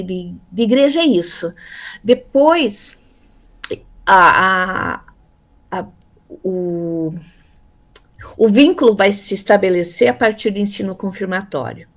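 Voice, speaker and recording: A woman in her fifties from Brazil, telling her life story in a relaxed interview, pausing often with fillers and repeated words. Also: medium pitch. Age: 50s. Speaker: interviewee, theology graduate. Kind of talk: casual conversational interview.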